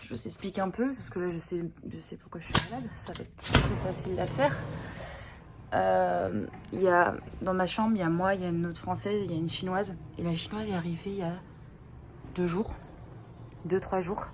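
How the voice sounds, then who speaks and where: A woman's voice: lowish voice, moderate pace, background noise. Sick young woman vlogging. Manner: chatty, slangy, rambling with many fillers. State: sick with a blocked nose and sore throat. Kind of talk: casual vlog chat. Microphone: phone held at arm's length.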